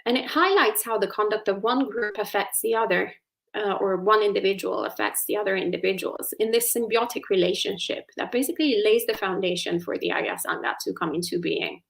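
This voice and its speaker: Woman with an Italian accent, gravelly voice. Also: medium pitch, expressive, brisk, a bit bright. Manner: thoughtful, with many ums and uhs and restarts. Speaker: Buddhist nun teacher. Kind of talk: explanatory dharma talk.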